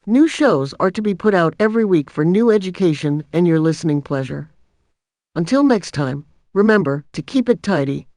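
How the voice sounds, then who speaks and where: A woman's voice: on the low side, animated, moderate pace, mellow, dry recording, clean studio sound. A woman playing a prim 1920s housekeeping host on the radio, reading scripted advice in a formal, instructive way through an old radio filter. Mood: polite sign-off. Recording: dull, lo-fi old-radio sound.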